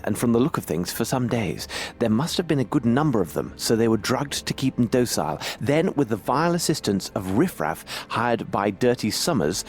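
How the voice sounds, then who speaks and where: An actor playing a brisk, analytical English gentleman detective in a video game, speaking formally and precisely. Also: on the low side. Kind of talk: video game cutscene acting.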